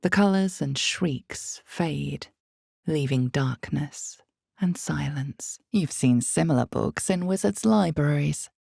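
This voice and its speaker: Ominous fantasy game narrator lady, super creaky voice, slow n deliberate, dramatic. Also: a little mellow, no echo, studio quality, heavily acted. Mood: ominous, measured. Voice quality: creaky, low. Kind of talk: scripted second-person dark fantasy narration.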